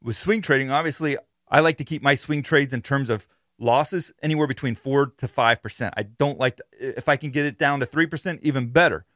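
A man's voice: mid-range voice, a little fast, fairly bright tone, no echo. A man hosting a podcast, explaining things in an informal, conversational monologue on a close mic. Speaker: podcast host.

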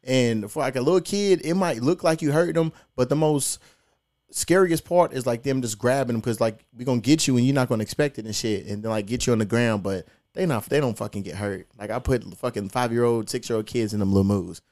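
A man's voice: medium pitch, a little fast, darkish tone. A young man, hosting a podcast and telling stories in a laid-back, profane, slangy way. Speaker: podcast host. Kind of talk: casual podcast storytelling.

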